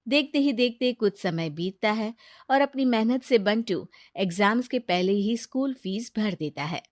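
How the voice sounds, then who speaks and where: A woman's voice: medium pitch, lively intonation, unhurried, dry recording, clean studio sound. Woman narrating a moral story.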